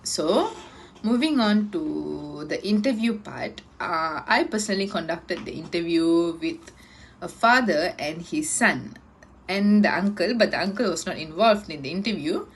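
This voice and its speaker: A woman's voice: fairly low pitch, expressive, measured pace, slight background noise. Young woman giving a class presentation. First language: Tamil. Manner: explanatory, with fillers. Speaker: student presenter.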